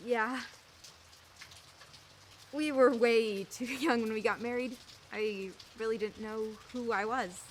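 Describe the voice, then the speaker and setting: A chatty divorced neighbor woman, voiced by an actress with a fairly high voice, laughing as she talks and poking fun at herself. A radio-play performance with faint noise underneath. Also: takes it slowly, pauses often. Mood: candid. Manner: chatty, laughing often, self-mocking.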